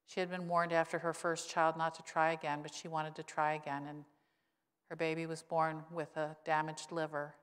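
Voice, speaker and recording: Woman pastor, sixties, lowish voice, wry conversational preaching, pulpit mic, long church reverb. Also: level tone, moderate pace, clean tone. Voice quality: voice grown lower since illness, she says. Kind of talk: sermon.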